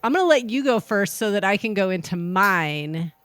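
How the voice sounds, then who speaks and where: A woman co-hosting a podcast, chatting and reading out a cocktail recipe in a measured, unhurried way. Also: mid-range voice, expressive, a bit bright, no room sound. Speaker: podcast co-host.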